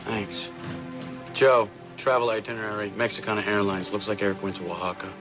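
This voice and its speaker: Blunt, lowish-voiced detective partner played by a man. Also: little pitch movement, a little fast, over music, close to an everyday voice. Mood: businesslike. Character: blunt, streetwise male police detective, the partner. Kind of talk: TV crime drama dialogue.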